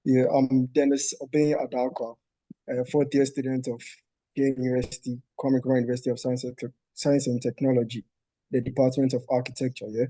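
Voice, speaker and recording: A man's voice: medium pitch, little pitch movement, a little fast, mellow, dry recording, low-quality recording. A man with a Ghanaian accent, a fourth-year architecture student, introducing himself and repeating words as he goes. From Ghana, Eastern Region. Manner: brief introduction, repeating words and saying "yeah".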